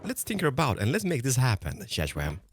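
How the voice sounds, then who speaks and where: A man chatting casually while gaming with a friend, excitable and prone to exclaiming and repeating words. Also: medium pitch, brisk, husky. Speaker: gaming collaborator. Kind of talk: casual chat while playing a game.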